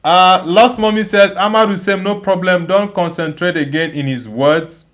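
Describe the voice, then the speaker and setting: Male livestream host, fairly high-pitched, chatty and emphatic, reads viewer messages and replies off the cuff with repeated phrases. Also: normal speed, fairly bright tone. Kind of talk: casual live chat, reading viewer comments aloud and replying.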